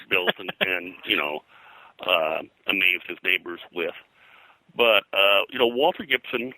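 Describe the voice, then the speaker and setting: Man, very low pitch, very bright sharp tone, dry close sound, rambling informal interview talk. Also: no echo, poor audio. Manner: rambling, halting, repeats words. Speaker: guest, pop culture historian. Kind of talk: informal interview talk about pulp fiction history.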